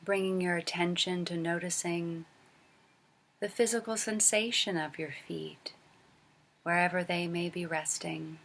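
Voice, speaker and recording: A woman leading a guided body scan meditation as a teacher, speaking gently and unhurriedly with long pauses and soft, breathy phrase endings. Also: lowish voice, fairly even intonation.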